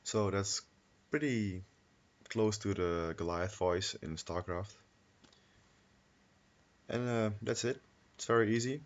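A man with a low-pitched voice presenting a casual software tutorial, recorded on a computer microphone. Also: slow, pauses often. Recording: noisy home microphone with clicks.

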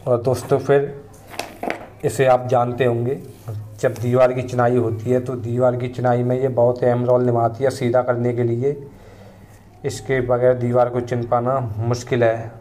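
A man's voice: mid-range voice, level tone, warm tone, a little hiss or hum. A man in his thirties, a mason, casually explaining his building tools one by one while recording outdoors on a phone. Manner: plain, unhurried explaining, pointing at each tool in turn. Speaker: mason and channel host. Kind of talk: casual tool demonstration. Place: paved courtyard outdoors, tools laid on the ground.

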